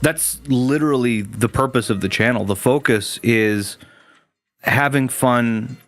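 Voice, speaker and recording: A man's voice: mid-range voice, a little slow, fairly bright tone, soft background music. A man hosting a gaming stream, chatting casually with viewers in a rambling way, full of restarts and fillers.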